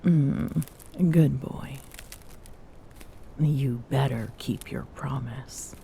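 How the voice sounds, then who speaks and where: A woman's voice: rumbling low, very slow, vocal fry, warm tone, slight background noise, heavily acted. A woman playing a tall Amazonian queen in an intimate ASMR roleplay, smitten and teasing, bossy yet tender, often slipping toward a whisper. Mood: commanding, fond. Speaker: voice actor in audio roleplay.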